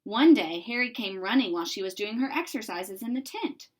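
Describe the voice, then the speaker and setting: A woman reading a children's story aloud in an expressive, deliberate narrating style. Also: medium pitch. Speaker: storybook reader.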